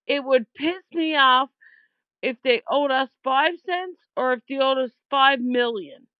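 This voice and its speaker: A woman's voice: high-pitched, unhurried, clear, resonant tone, dry recording. A woman leaving an informal complaint voicemail over the phone, speaking in a blunt, aggrieved and emphatic way, with dull lo-fi sound. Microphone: phone call.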